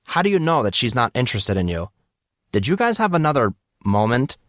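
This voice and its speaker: A man voicing an excitable, nosy teenage boy with a pestering, dramatic manner in an audiobook. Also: mid-range voice, a little fast, no echo, clean studio sound, pitched above the performer's own voice. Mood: eager, prying. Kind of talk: audiobook character voice.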